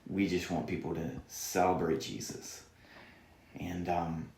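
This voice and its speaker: A man's voice: low voice, flat delivery, a little slow, vocal fry, darkish tone, reverberant, slight background noise. A man with a Southern accent, a pastor speaking earnestly in a podcast interview and quoting scripture. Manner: earnest, preacherly, quotes scripture. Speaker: student pastor, guest.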